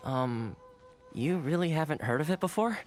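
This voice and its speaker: A man's voice: fairly high pitch, lively intonation, moderate pace, soft background music, natural voice. A man voicing an affable young local fixer in a video game, breezy, persuasive and politely teasing. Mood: explanatory, easygoing.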